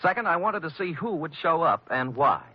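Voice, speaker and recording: A man's voice: a little high, big pitch swings, normal speed, slightly rough. An American man playing a tough, wisecracking private detective in an old-time radio noir drama, speaking in a wry, clipped manner. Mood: cool, threatening. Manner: hard-boiled, wry, clipped. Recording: dull vintage radio recording with music.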